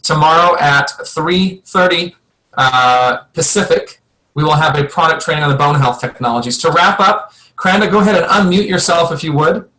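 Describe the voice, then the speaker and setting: A man's voice: on the high side, normal speed, sharp tone. A man hosting a sales webinar, talking in an upbeat and persuasive way. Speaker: webinar host, product presenter. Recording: echoey room.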